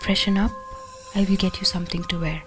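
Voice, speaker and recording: An actress playing a polite but quietly menacing cottage hostess in a horror radio play, composed and insinuating. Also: female voice, fairly low pitch, fairly even intonation, a little fast, faint music behind, close to an everyday voice. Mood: courteous. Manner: composed, insinuating, pointed questioning.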